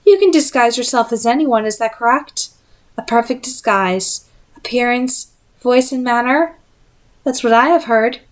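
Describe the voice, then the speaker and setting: A woman's voice: on the high side, lively intonation, unhurried, pitched above the performer's own voice. A woman voicing a haughty, scornful aristocratic female vampire in a storybook, formal and imperious. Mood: probing, sly.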